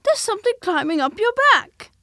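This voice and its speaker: Woman voicing a high, jittery storybook piglet, fast and squeaky, anxious; very dry read. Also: expressive, no room sound. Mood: excited. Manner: nervous, squeaky and quick. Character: small timid anxious male piglet.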